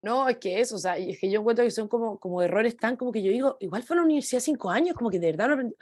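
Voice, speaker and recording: A young woman on a radio panel, a law student who talks quickly and hesitantly, searching for words. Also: a little high, lively intonation, a little mellow, dry recording. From Chile.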